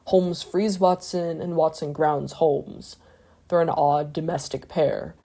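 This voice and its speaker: A woman's voice: low-pitched, fairly even intonation, takes it slowly, dark tone. A woman narrating a scripted video essay in a reflective, analytical way, with the odd casual aside.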